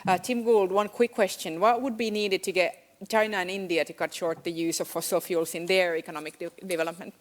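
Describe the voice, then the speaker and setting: A woman's voice: fairly low pitch, steady tone, fairly quick, hardly any pauses. A woman moderating a live panel discussion, steering it and putting questions to the speakers. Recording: clearly noisy live event recording.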